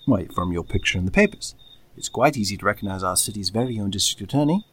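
An actor playing a formal, smoothly courteous man receiving guests in an old-time radio drama. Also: on the low side, animated, quick pace, fairly bright tone. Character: formal, smoothly courteous male host greeting invited guests.

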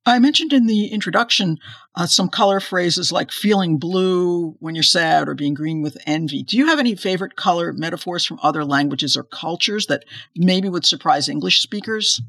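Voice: medium pitch, normal speed, fairly bright tone, no room sound, clean studio sound. A podcast host asking curious, conversational interview questions.

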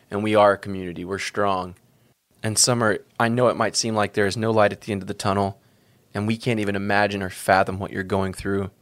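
A man co-hosts a podcast in an earnest, encouraging tone. His voice sits somewhat low, and the sound is dry and close. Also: no echo. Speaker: podcast co-host. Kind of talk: podcast hosting.

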